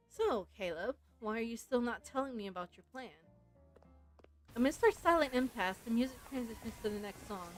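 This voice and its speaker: A woman livestreaming a game, chatting casually and reading its story aloud in a playful way. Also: fairly high pitch. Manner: playful, giggly fan commentary. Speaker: game streamer. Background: game music.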